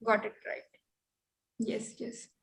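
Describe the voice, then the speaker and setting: A woman's voice: slow pace, a bit bright. A woman with an Indian accent hosting an online meeting in casual, chatty talk, often checking with okay and right. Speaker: meeting host. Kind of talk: casual organising chat. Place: online video call.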